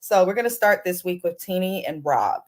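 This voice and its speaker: A woman's voice: lowish voice, lively intonation, moderate pace. A woman hosting a casual, chatty TV recap, speaking informally to her viewers with a slightly congested voice and a sore throat. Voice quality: slightly congested, not crisp. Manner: informal, addresses viewers directly as "you guys". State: under the weather, sore throat with throat clearing. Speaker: host of a TV recap channel.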